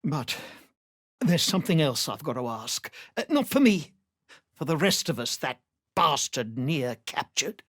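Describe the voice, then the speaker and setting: A woman's voice: low-pitched, big pitch swings, measured pace, dry recording, studio quality, exaggerated voice. A woman playing a blunt, desperate and defiant gnome slave in a video game, urgent and pleading. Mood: tense, insistent. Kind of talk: video game character acting.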